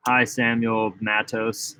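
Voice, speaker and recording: A man's voice: on the low side, level tone, slow, bright, forceful tone, clean tone. A man co-hosting a casual live-stream Q&A, laid-back and brief, greeting viewers. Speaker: vlog co-host.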